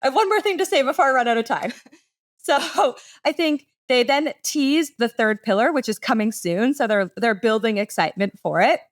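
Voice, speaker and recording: A woman's voice: on the high side, expressive, normal speed. A woman giving a conversational business presentation as a podcast panelist, explaining things with enthusiasm in casual phrasing.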